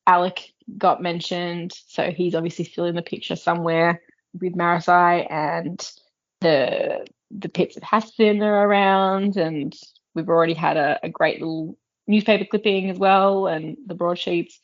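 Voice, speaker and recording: A woman with a notably low voice shares her impressions of a book in an informal, appreciative way. She speaks as one of a discussion panel. The sound is a little dull and lo-fi. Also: steady tone, unhurried. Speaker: podcast panelist.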